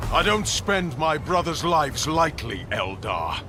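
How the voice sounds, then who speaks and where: A noble, stern warrior commander, with a slightly high voice that swings widely in pitch. He declaims with dutiful defiance in a sci-fi war game, with clear noise behind him. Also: very animated, slow pace. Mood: stern resolve. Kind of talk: video game cinematic acting. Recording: loud battle noise behind.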